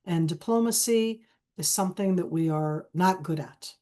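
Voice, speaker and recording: A woman's voice: on the low side, moderate pace, dark tone. An Israeli woman with a cold, speaking as a guest analyst in an online Q&A, emphatic and repeating key phrases. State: has a cold. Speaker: guest speaker on a webinar panel.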